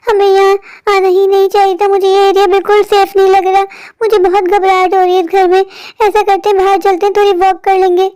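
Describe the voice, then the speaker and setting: A woman's voice: very high voice, level tone, brisk, a little mellow. A woman voicing a timid young runaway bride in a cartoon story, fretful and pleading, with a childlike voice. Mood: anxious.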